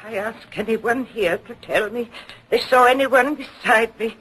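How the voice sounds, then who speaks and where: Elderly accused witch, very husky, high-pitched, frail, pious, pleading, old radio drama. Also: measured pace, fairly bright tone, full character voice, rough recording. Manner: earnest, pleading. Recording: vintage radio broadcast.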